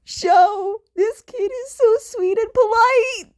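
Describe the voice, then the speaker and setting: A woman's voice: very high voice, takes it slowly, a little mellow, pitched above the performer's own voice. Woman as an upbeat, doting man, raised voice. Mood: gushing. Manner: gushing, sympathetic. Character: upbeat kindly doting adult male hero. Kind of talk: fan fiction audiobook character voice.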